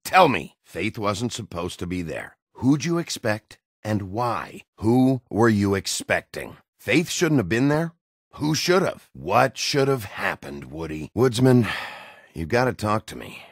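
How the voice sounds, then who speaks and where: An actor voicing a gruff, hot-tempered wolf detective in a video game, rough voice, blunt and threatening. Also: male voice, low voice, no echo, clean studio sound, exaggerated voice. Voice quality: gravelly, rough voice. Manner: blunt, threatening interrogator, curses freely.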